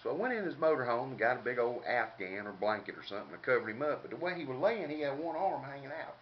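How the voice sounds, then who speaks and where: A man's voice: mid-range voice, expressive, fast talker. An older man with a Southern US accent tells a casual comic story in a folksy, drawling country way with colorful slang. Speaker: comedic storyteller. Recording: dull lo-fi home recording, background noise.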